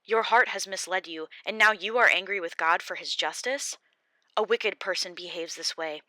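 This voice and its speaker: A woman gives voice to Eliphaz, a stern, self-righteous man who lectures rather than listens. The delivery is firm and measured. The tone is bright and sits a little low, in a dry close reading. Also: dry recording.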